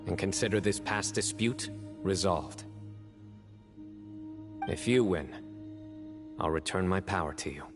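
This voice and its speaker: An actor playing a stern, resolute young law enforcer in a video game, solemn, measured and determined. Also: male voice, low-pitched, lively intonation, music in the background. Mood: firm resolve.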